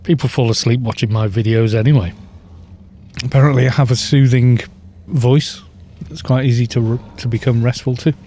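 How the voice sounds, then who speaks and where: A man chatting casually on a game livestream, soft-spoken and rambling, with little chuckles, into a studio microphone at home. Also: medium pitch, steady tone, normal speed, a little hiss or hum. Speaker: livestream gamer. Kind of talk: casual chat while gaming, reading chat.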